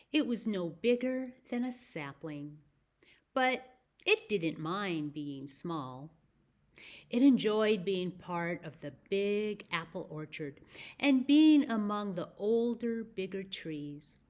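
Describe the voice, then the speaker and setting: A woman with an American accent hosting a children's story time, speaking deliberately as if to young listeners. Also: mid-range voice, very animated, darkish tone. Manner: slow, deliberate phrasing with questions to young listeners. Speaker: library story time host.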